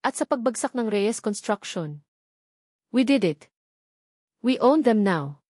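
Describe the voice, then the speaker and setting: A woman's voice: on the high side, lively intonation, takes it slowly, a little mellow, no room sound, studio quality. A woman with a Filipino accent voicing a ruthless, triumphant rich businessman in a boastful, declamatory way. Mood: gloating triumph.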